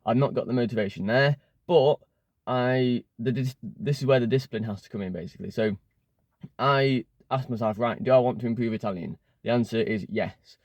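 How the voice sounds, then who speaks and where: A man's voice: mid-range voice, brisk, a little mellow, no room sound. A young man with an English accent, talking casually into his phone from inside his car and often stopping to restart his sentences. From England. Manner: rambling, with many fillers and restarts. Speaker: language learning vlogger. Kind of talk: casual talk to camera.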